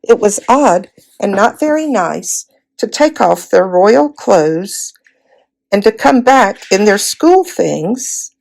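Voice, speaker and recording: A woman reading a children's fantasy novel aloud in an expressive storytelling style. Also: mid-range voice, slow pace, gravelly, rough recording. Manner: acts out dialogue in character voices. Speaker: volunteer storybook reader.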